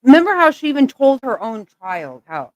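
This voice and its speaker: A woman's voice: sits high, sing-song, moderate pace, dry recording. A woman giving blunt, exasperated reaction commentary, swearing often. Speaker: channel host reacting to clips.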